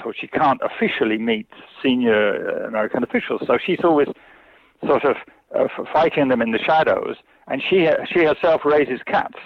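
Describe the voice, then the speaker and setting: A man's voice: medium pitch, no echo. Guy on a phone line answering interview questions, lots of uh and you know, sounds tinny. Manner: hesitant, many fillers and restarts. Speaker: China specialist guest.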